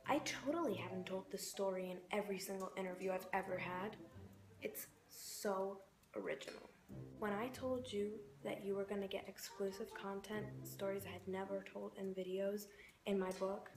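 A young woman giving a dramatic comic reading, sarcastic and deliberate, with pauses for effect. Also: mid-range voice. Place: bright bedroom indoors. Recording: roomy echo. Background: clear music.

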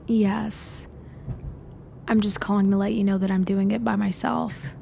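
A woman playing a cunning female mafia leader in an ASMR roleplay, speaking softly and hesitantly. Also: medium pitch, little pitch movement, a little slow, gravelly, slight background noise. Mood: cool, businesslike. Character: cunning female mafia leader feigning a helpless stranded motorist.

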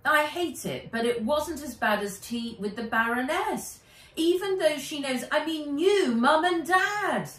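A woman's voice: high-pitched, expressive, measured pace, a bit bright, pitched above the performer's own voice. A British woman voicing an upset, dramatic young schoolgirl in a storybook, exclaiming and pleading. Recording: roomy, a little distant from mic.

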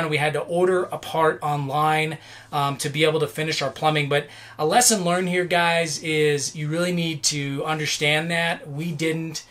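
A man's voice: fairly high pitch, fairly bright tone. A man in his forties explaining a motorhome sink swap to camera in a chatty, self-deprecating way, full of fillers and restarts, inside a motorhome kitchen. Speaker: RV renovation vlogger. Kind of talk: casual DIY how-to talk to camera.

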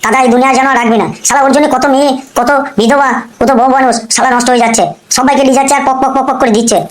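Female performer as male cartoon gangster, short-tempered, cursing, very quick delivery, high pitch. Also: fairly even intonation, lightly acted. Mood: commanding. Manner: blustering, curse-laden, repetitive. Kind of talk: amateur comic cartoon dialogue.

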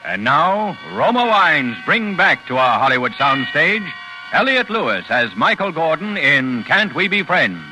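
A man's voice: on the high side, very animated, unhurried, low-quality recording. A man announcing a 1940s radio drama in a formal, portentous manner. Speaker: program announcer. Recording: vintage radio recording, music behind.

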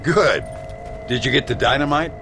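An actor playing a grandiose, wistful old gunslinger in a video game, with a gravelly American voice and a slow storyteller's delivery. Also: male voice, medium pitch, expressive, over music. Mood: genial, florid. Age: older. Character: grandiose, wistful old male gunslinger, wry and self-glorifying. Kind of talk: video game cutscene acting.

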